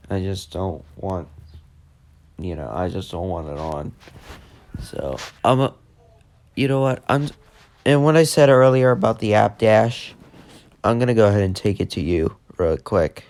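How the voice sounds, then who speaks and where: A man giving a casual, unscripted tech demo in a halting, repetitive way that tends to trail off. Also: on the low side, a little mellow, slight background noise. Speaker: video host demonstrating a walkie-talkie app.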